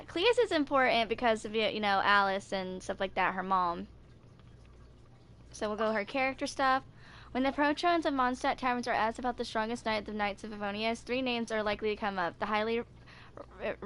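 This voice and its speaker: A woman's voice: on the high side, a bit bright, slight background noise. A woman in her twenties chatting casually and reading video game lore aloud as a gaming creator. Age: 20s.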